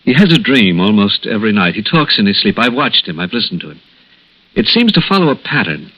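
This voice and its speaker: An American actor playing a grave hospital doctor in 1960s radio drama, speaking in a measured, hesitant, solemn way. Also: male voice, on the low side, very animated, slightly rough. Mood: solemn, foreboding. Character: grave, hesitant male hospital doctor breaking bad news gently. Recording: dull lo-fi vintage radio recording.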